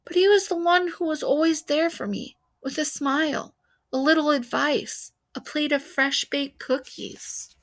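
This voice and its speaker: A woman reading a children's novel aloud as an expressive storyteller, narrating in the first person. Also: high-pitched, a little slow.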